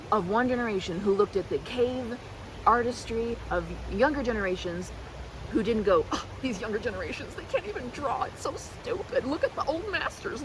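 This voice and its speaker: A woman's voice: fairly high pitch, background noise. A woman rambling casually and animatedly like a chatty best friend, slipping into mimicked quotes in a roleplay.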